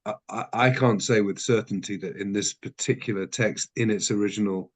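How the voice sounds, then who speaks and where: Halting, low-voiced man teaching a class. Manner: halting, many restarts and self-corrections. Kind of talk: teaching a text study class.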